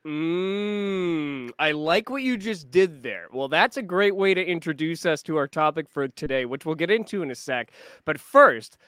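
A man's voice: on the high side, expressive, moderate pace, fairly bright tone, clear, resonant tone, no room sound, clean studio sound. A man hosting a podcast, reading upbeat scripted introductions and making playful jokes with his guests. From Canada. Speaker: podcast host. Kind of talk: podcast conversation.

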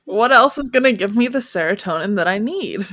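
A young woman hosts a podcast in a loose, chatty way, leaning on filler words as she goes. Her voice sits at a middling pitch and sounds close and dry, with almost no room around it. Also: a little fast, dry recording. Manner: chatty, frequent "like" fillers, animated. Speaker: podcast host. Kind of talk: casual podcast chat.

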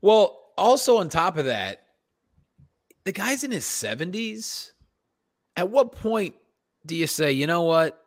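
A man chatting casually and comedically as a podcast co-host, swearing freely as he tells animated stories. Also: high voice, takes it slowly, pauses often, no room sound, clean studio sound. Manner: profane, animated storyteller. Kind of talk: casual comedic chat, storytelling.

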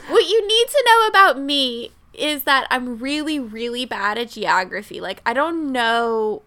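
A woman's voice: sits high, animated, unhurried, sharp tone. A woman co-hosting a podcast in casual chat, self-mocking and laughing often. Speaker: podcast co-host. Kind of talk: casual chat about movies and shows.

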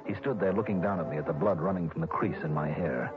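An actor playing a tough, insistent investigator in a radio drama, clipped and hard-boiled. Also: male voice, low voice, fast talker, over music, lightly acted. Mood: tense, wry. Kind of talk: 1960s radio drama acting and narration. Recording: dull old radio recording.

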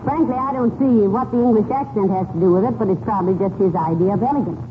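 A woman's voice: mid-range voice, fast, natural voice. An actress playing a sardonic, sensible American wife who teasingly deflates her husband, in 1940s radio comedy style. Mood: dry, exasperated. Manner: wry, teasing. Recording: noisy, tinny 1940s radio recording.

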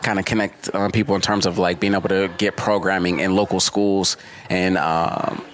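Thirties male co-host, low register, relaxed rambling chat. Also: normal speed. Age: 30s. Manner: easygoing, rambling, trails off mid-thought. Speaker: podcast co-host. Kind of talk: casual podcast conversation.

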